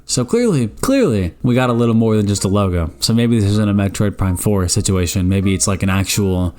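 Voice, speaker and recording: A nineteen-year-old man giving casual gaming news commentary, rambling with lots of stammered restarts and joking asides. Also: lowish voice. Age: around 19. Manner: animated, joking, exclaims "bro" and "baby".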